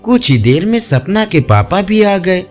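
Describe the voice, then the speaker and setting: A man narrating a scripted audio story with measured, expressive storytelling delivery. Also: sits high. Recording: music bed behind voice. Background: background music.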